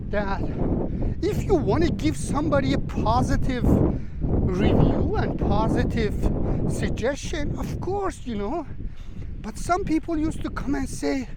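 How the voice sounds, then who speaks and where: A man with a strikingly high voice rambles to his viewers as he walks along the shore. His English is loose and broken, and his talk is chatty. Wind buffets the handheld camera mic throughout. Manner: chatty, non-native English with broken grammar. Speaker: travel vlogger. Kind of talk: casual walking vlog chat. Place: outdoors on a windy beach. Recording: wind noise on the mic outdoors. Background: wind and outdoor ambience.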